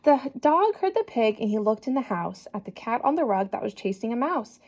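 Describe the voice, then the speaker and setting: Woman, higher-pitched, very lively intonation, children's read-aloud, encouraging teacher manner, speaks straight to kids. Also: a little fast. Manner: animated, encouraging, talks directly to children. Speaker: teacher reading a picture book to children. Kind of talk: children's story read-aloud with questions to young listeners.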